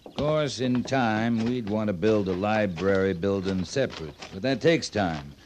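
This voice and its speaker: An actor playing a calm, wry young cavalry captain, courteous and laconic, in an old-time radio western. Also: male voice, lowish voice, unhurried, a little hiss or hum. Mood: patient, courteous.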